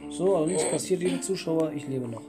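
A man's voice: a little high, a little fast. Easygoing man chatting over gameplay. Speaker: let's player, co-host. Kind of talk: casual gameplay commentary. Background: music.

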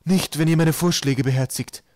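Man playing male island leader, authoritative, emphatic, indignant, slightly raised pitch, audiobook character, dry recording. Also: normal speed, darkish tone, dry recording, natural voice. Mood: insistent. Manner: emphatic, insistent.

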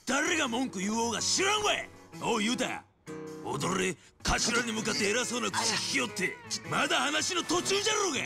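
Hot-headed gangster boss, high-pitched and wildly animated, gruff, Hiroshima accent, game cutscene. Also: male voice, moderate pace, music in the background, heavily acted. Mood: irritated. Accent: Hiroshima Japanese. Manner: gruff, taunting. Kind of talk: video game cutscene acting.

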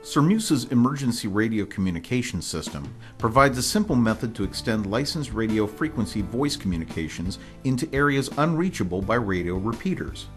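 An American man reading scripted promotional narration in a formal, measured, informational manner. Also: lowish voice, expressive. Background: music bed.

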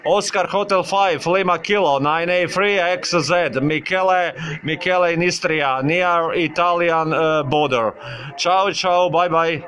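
A man making a friendly amateur radio contact, halting and repeating his words. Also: fairly high pitch, fairly bright tone. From Croatia.

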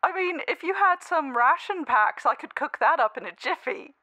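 Young space heroine for parody cartoon, played by a woman: very lively pitch, dry close sound, giddy, halting, exasperated. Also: dry recording. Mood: awkward.

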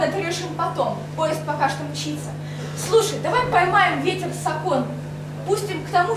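A young woman reading her own poems aloud on a cafe stage, in an intimate, lilting declamation. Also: extremely high pitch. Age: young adult. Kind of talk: poetry recitation. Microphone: far camera mic, no handheld mic. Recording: echoey club room, far mic, audible noise.

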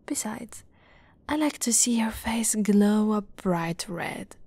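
Woman acting as snake-woman girlfriend, unhurried, doting, playful, soft and whispery, ASMR roleplay. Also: mid-range voice, no echo. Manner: soft, cooing, often dropping into whispers. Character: affectionate teasing lamia girlfriend, doting and playful.

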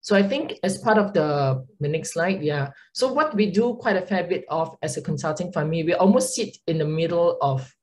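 A woman's voice: on the low side, lively intonation, normal speed, few pauses, a little hiss or hum, poor audio. A woman with a Singaporean accent, a consulting partner presenting on a video call webinar, chatty with frequent filler words and tag questions. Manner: conversational, frequent "right?" tags and um fillers.

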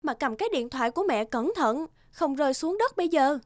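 Cartoon mum, caring yet gently firm, soft music behind. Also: female voice, sits high, lively intonation, a little fast, dry recording, studio quality. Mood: gently chiding. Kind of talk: children's cartoon dialogue.

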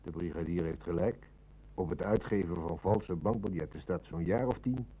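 An actor with a Netherlands Dutch accent playing a stern, shrewd police inspector in an old radio drama, speaking with dry authority. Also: male voice, sits low, moderate pace, dark tone, breathy edge, rough recording.

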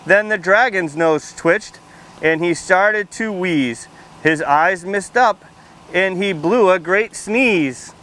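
A man in his thirties with a smooth, fairly high voice speaks to small children at an outdoor storytime. He is friendly and plain-spoken, like a visiting firefighter educator, and often turns to the children with questions. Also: slow, fairly bright tone. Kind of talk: read-aloud storytime and casual talk to young children. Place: outdoors under a canopy on a library lawn.

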